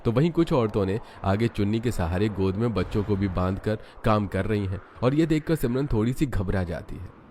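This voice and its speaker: A man's voice: on the low side, animated, moderate pace, warm tone, a little hiss or hum. A man reading scripted narration for a cartoon family story.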